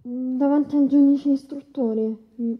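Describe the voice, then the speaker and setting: Guarded, flat-voiced young female witness. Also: high-pitched, a little slow, phone-quality sound, faint music behind. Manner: restrained, plain, short answers. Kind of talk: courtroom docudrama radio acting.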